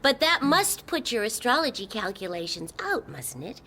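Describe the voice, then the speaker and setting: An actress playing a brisk, sceptical, sardonic television reporter in a radio drama. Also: female voice, a little high, big pitch swings, sharp tone, slight background noise. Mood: sceptical, probing. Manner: crisp, probing, sardonic.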